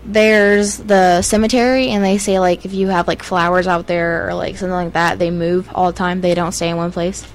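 A woman's voice: lowish voice, steady tone, normal speed, few pauses, a little hiss or hum. A young woman, co-hosting a podcast and telling ghost stories in a rambling way, full of fillers. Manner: rambling, filler-heavy, giggly. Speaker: podcast co-host. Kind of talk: casual ghost-story chat.

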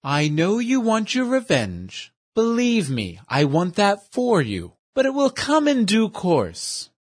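A puffed-up, verbose portly gentleman who relishes every fancy word, as a male narrator voices him. His voice sits high and leaps about a lot. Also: wildly swooping pitch, slow, exaggerated voice. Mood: pompous. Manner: grandiloquent, over-formal diction. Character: pompous portly man, verbose and fussy.

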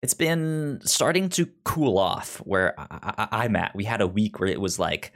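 A man hosting a casual podcast, talking in an animated way with frequent restarts and repeated words. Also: lowish voice, moderate pace. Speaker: podcast host. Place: indoors, in a jacket.

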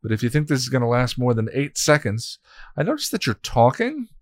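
A man's voice: medium pitch, sing-song, brisk, no echo, clean studio sound, voice pushed up. A man voicing a female robot copy of a heroine who speaks coolly and reasonably. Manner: cool, reasonable.

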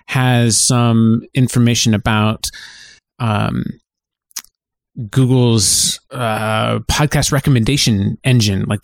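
A man with an average-pitched voice chats as a podcast co-host. His phrasing is loose and informal, like talk between friends. The recording is dry and free of room echo. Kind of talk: casual chat.

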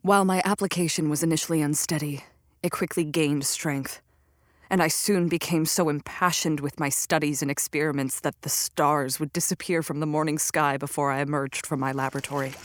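A woman as an intense, low-voiced young scientist. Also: fairly even intonation, brisk, no echo, close to an everyday voice. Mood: hushed, absorbed. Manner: formal, theatrical, intense period diction. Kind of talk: dramatic radio play narration and acting.

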